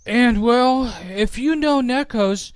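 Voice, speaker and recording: A man voicing an earnest, polite suburban father in a game roleplay, explaining things deliberately. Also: very high voice, clean tone, dry recording. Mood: explaining, measured. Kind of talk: Minecraft roleplay voice acting.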